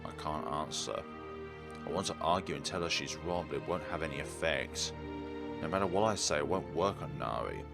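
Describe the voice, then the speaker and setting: Low, gravelly man reading game dialogue dramatically. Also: a little fast, loud music behind the voice. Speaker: video game playthrough host. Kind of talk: dramatic read-aloud of game text with his own commentary.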